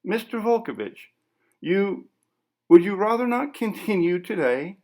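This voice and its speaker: A man's voice: high voice, very animated, measured pace, a little mellow, voice pushed up, heavily acted. A man voicing a nervous, polite interviewer in a short story reading, asking questions in a tentative, formal way.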